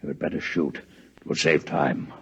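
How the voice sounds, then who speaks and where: An actor playing a courteous, dignified alien scientist in a 1950s radio drama, speaking with stiff, pedantic formality and a husky voice. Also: male voice, rumbling low, fairly even intonation, moderate pace, fairly bright tone, heavily acted. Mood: dignified reproach. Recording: muffled vintage radio recording.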